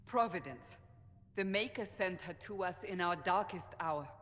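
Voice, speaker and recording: A woman's voice: on the low side, animated, moderate pace, a bit bright. An actress playing a resolute, devout female warrior in a fantasy video game, commanding and grave. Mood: fervent. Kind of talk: dramatic video game acting. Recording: long hall reverb, music behind.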